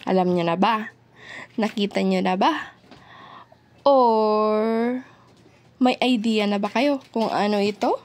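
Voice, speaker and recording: Slow-paced Filipino woman presenting products. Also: mid-range voice, darkish tone. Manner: addresses viewers directly, explanatory. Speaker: product presenter for an audio brand. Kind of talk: product update video presentation, semi-scripted.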